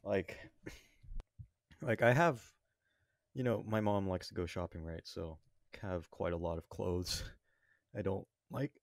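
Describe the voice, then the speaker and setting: Halting man, lowish voice, very dry sound. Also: no room sound. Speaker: podcast co-host. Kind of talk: casual chat.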